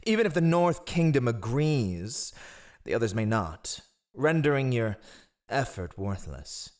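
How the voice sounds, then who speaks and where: A man's voice: mid-range voice, big pitch swings, unhurried, darkish tone. A man playing a scheming, haughty royal spy master in a fantasy audio roleplay, with sardonic, theatrical disdain. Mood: scornful.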